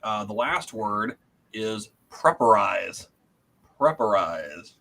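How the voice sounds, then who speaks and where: A man's voice: on the low side, big pitch swings, slow, vocal fry, pushed and bright, slight background noise. A man hosting a comedy game show with casual, joking banter.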